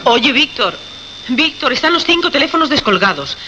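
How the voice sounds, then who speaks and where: A harried, insistent woman in a radio suspense play. Her voice is bright and very animated. The sound is dull, with faint noise. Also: high-pitched, moderate pace, heavily acted. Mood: urgent. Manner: urgent, pleading. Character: insistent, harried female radio station colleague. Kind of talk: Spanish radio suspense drama acting.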